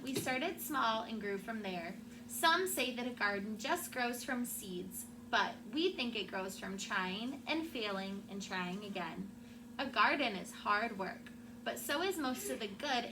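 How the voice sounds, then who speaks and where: A woman teacher reading a picture book aloud to her class in an expressive storytelling way, with asides to the children. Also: a little high, unhurried. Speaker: elementary school teacher. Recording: clearly noisy classroom recording.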